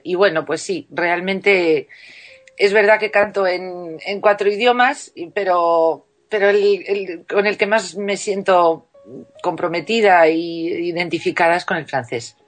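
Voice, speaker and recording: A woman's voice: medium pitch, unhurried, soft background music. Chatty woman singer, radio guest. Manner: warm, chatty, many restarts and fillers. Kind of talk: casual radio interview.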